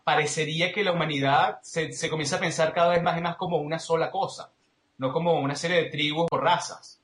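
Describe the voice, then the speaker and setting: Male interview guest, Venezuelan accent, slightly high voice, analytical and explanatory, recorded with marked room echo. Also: fairly bright tone. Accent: Venezuelan Spanish. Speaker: interview guest, political commentator.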